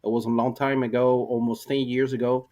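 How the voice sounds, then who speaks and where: Man, middle-aged, Cuban Spanish accent, non-native English, halting, fillers, interview guest. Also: medium pitch, level tone, dark tone. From Cuba. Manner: halting, searching for words, many fillers. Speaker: guest, IT professional. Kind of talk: interview answers.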